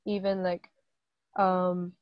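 A young woman from Hong Kong chatting casually with her sibling, animated and full of exclamations. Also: fairly low pitch, slow, no echo, muffled recording. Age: late teens. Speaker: co-host, sister. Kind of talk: casual chat.

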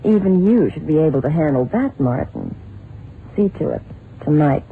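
A woman's voice: low-pitched, expressive, moderate pace. An actress playing a cold, commanding, suspicious female villain in a 1970s radio drama, imperious and clipped. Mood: scornful, commanding. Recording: muffled, hissy old radio drama recording.

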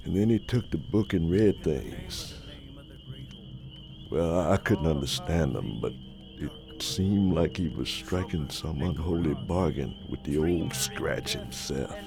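An actor playing a haunted old former servant with a Southern American accent, telling a horror tale gravely in folksy speech for a radio drama. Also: male voice, rumbling low, lively intonation, takes it slowly, heavily acted. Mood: solemn. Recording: music loud under the voice.

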